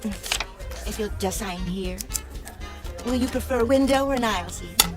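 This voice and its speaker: Actress as chatty, dreamy ticket agent. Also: female voice, medium pitch, unhurried, lightly acted. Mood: helpful, dreamy. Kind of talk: radio drama acting. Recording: music behind the voice.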